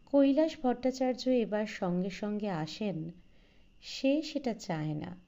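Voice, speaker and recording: A woman's voice: mid-range voice, lively intonation, slow pace, mellow, lo-fi sound. A woman reading a literary short story as an audiobook narrator, in a scripted narration style.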